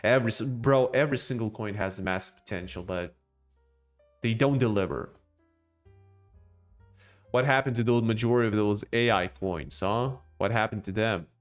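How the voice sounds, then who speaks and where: A man's voice: lowish voice, normal speed, long pauses, soft background music. A man with a non-native English accent hosting a casual crypto live stream, joking and rambling as he answers chat. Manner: rambling, joking, with laughs and restarts.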